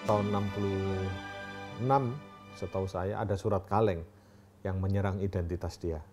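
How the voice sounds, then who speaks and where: Dark, low-voiced male interviewee. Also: fairly even intonation, normal speed, soft background music. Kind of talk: recounting in interview.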